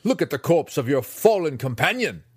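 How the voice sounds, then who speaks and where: A man reading an audiobook, voicing a harsh, furious male dark elf weapons master who snarls and shouts his words out slowly. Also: mid-range voice, wildly swooping pitch, husky, voice pushed up, full character voice. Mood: raging. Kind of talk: audiobook character voice.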